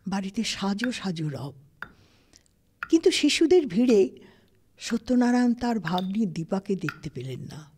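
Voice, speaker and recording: A woman's voice: mid-range voice, big pitch swings, unhurried, pauses often, darkish tone. A woman narrating a scripted literary story as an audiobook-style narrator. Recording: studio, faint background noise.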